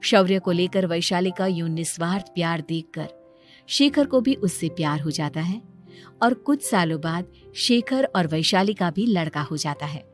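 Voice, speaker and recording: A woman's voice: lowish voice, moderate pace. A woman narrating a scripted dramatic story. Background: background music.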